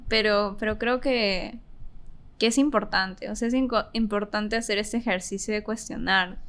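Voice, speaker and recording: A woman's voice: mid-range voice, fairly even intonation, normal speed, a bit bright. Peruvian woman in casual reflective conversation. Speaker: podcast guest.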